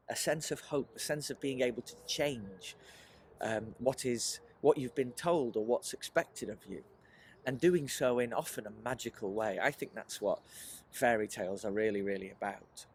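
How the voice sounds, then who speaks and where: A man leading a children's writing workshop in an animated, encouraging presentational style, often putting questions to his listeners. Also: mid-range voice, moderate pace, slight background noise. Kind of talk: presentational teaching aimed at children, with rhetorical questions.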